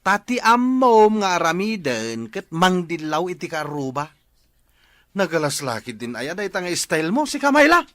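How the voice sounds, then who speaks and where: A man's voice: high-pitched, sing-song, moderate pace, a bit bright, no room sound. A man playing a plain-spoken, obliging but scolding handyman husband in an Ilocano radio drama. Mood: reproachful.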